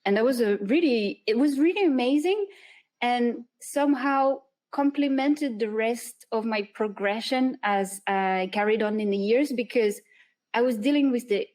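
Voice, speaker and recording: A woman talks through her answers slowly and thoughtfully, hesitating often. Her voice sits somewhat high, and she laughs easily between her thoughts. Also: darkish tone. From France. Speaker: guest illustrator and animator. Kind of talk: casual interview chat.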